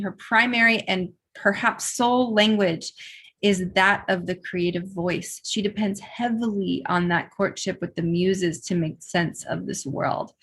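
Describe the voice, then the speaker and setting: Husky-voiced woman host. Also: medium pitch. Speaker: host introducing a guest. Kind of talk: reading an introduction on a video call. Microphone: video call.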